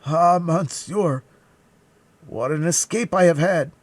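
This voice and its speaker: A shaken, servile manservant in an old adventure tale, put on by a male narrator. He is frightened half to death, his voice lifted high in drawn-out, deferential wailing. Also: animated, dry recording. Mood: terrified, shaken.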